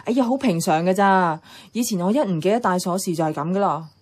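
A woman's voice: fairly low pitch, quick pace, darkish tone, lightly acted. An actress playing a nostalgic, adventurous young woman in a radio drama, chatting with a Hong Kong Cantonese accent. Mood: mischievous eager. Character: nostalgic, playful, adventurous young woman revisiting her childhood neighbourhood.

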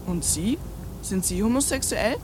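A woman's voice: mid-range voice, expressive, a little slow, a little mellow, slight background noise, lightly acted. An actress playing a brash, bossy, precocious little girl in a satirical radio play. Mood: nosy, blunt. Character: cheeky, foul-mouthed, bossy little girl.

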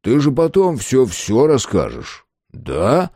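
Man playing a mocking police major, animated pitch. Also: slow, vocal fry, breathy edge, no room sound, studio quality, heavily acted. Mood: taunting. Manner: teasing, coaxing, needling interrogation. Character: mocking, menacingly cheerful male police major, falsely gentle.

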